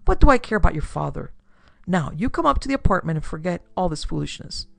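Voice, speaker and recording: A narrator voicing a brisk, bossy young society woman who speaks with scornful impatience in an audiobook. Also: medium pitch, sing-song, vocal fry, a bit bright, no echo, exaggerated voice. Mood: brisk, dismissive.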